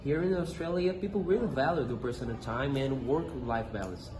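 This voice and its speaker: Young man reading answers, mid-pitched voice. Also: brisk. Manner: reads answers with small hesitations. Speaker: role-play interviewee. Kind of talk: scripted school role-play. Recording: echoey room with noticeable noise.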